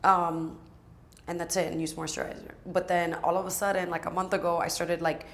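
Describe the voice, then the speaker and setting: A raspy-voiced woman in her twenties, chatting casually as a guest and filling her talk with "like". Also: fairly low pitch, little pitch movement, fairly quick. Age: 20s. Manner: chatty, many fillers like "like". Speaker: guest, aspiring singer and rapper. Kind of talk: casual sit-down chat.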